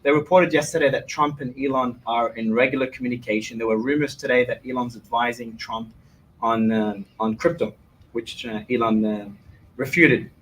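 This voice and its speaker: A male interviewer laying out background before asking his question. His voice is at a medium pitch, plain in delivery, with faint background noise. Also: steady tone, normal speed, a bit bright, poor audio.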